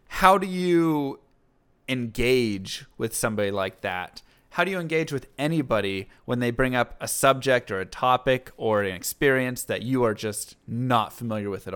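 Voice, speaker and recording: A man's voice: medium pitch, fairly bright tone, clear, resonant tone. A man hosting a conversation, asking thoughtful, probing questions. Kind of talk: interview questions.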